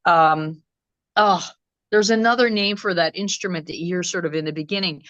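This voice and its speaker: Woman musician chatting about her songs, low voice, lots of ums, dry dull sound. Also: normal speed, a bit bright, no echo. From Croatia. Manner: thoughtful, rambling with many ums and restarts. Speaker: musician guest. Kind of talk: casual interview chat. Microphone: video call.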